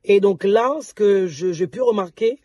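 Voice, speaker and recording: Woman entrepreneur, mellow and slightly hoarse, loose chatty vlog delivery. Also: medium pitch, moderate pace, dry recording, dull audio. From DR Congo. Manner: chatty, with frequent restarts and repeated words. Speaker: entrepreneur and vlogger. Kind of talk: casual vlog talk to viewers.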